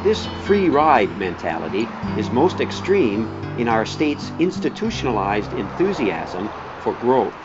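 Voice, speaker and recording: A man narrating a scripted documentary in a measured, pointed way with deliberate emphasis. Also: medium pitch, sing-song. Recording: dull, band-limited sound with music behind.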